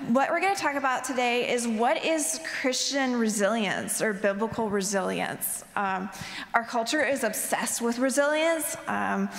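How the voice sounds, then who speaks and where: A woman's voice: fairly high pitch, a little slow, fairly bright tone. A young woman giving an earnest testimony talk on a chapel stage into a handheld mic, with many ums and you knows. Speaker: chapel speaker. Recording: echoey hall with long reverb.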